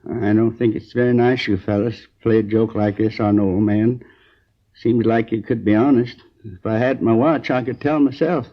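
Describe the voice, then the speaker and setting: An American man playing an injured, groggy storekeeper in his sixties, rambling haltingly and earnestly in a 1950s radio crime drama. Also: on the low side, steady tone, natural voice. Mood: hurt, grumbling. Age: 60s. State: groggy, in pain. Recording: dull old 1950s radio recording.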